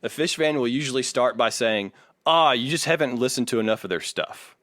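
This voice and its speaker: A man's voice: medium pitch, expressive, brisk, fairly bright tone, dry recording. A man co-hosting a casual podcast, reading from articles and arguing his points in an opinionated way. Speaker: podcast co-host.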